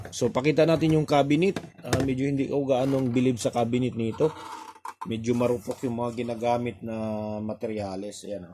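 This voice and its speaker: Mellow-voiced Filipino man explaining a repair. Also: medium pitch, slight background noise. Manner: halting, explains step by step with pointing asides. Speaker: audio repair technician and reviewer. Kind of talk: casual hands-on repair tutorial.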